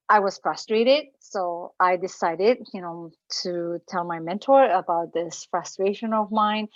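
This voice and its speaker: A woman from Romania in her forties tells her story as a guest speaker, earnest and full of fillers and restarts. Her voice sits on the low side. The recording is dull and dry, with little room sound. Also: dry recording. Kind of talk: personal talk at an online event.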